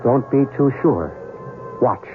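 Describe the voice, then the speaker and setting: An actor playing a calm, wistful man who speaks with earnest, lyrical conviction in a vintage radio drama. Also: medium pitch, slow pace, phone-quality sound. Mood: calm, knowing. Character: calm, wistful male storyteller, quietly convinced of wonders. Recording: thin vintage radio broadcast. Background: music.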